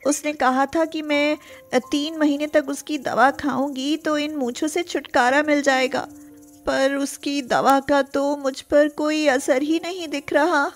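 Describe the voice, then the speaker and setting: Timid young daughter-in-law for a cartoon tale, played by a woman: high voice, slow, hesitant, pleading, anxious. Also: steady tone, faint music behind. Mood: apologetic.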